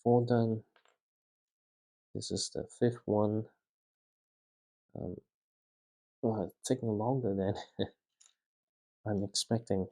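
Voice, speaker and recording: Slow, low-voiced man with a gravelly tone. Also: fairly even intonation. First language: not English. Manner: quiet, halting, thinking aloud with fillers. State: dry throat. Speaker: vlogger building a toy set. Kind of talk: casual talk while building.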